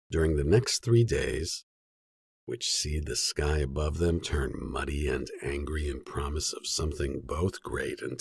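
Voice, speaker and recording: A man reading a literary story as an audiobook narrator, in a measured, sober storytelling manner. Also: low-pitched, creaky, breathy edge, no room sound, studio quality.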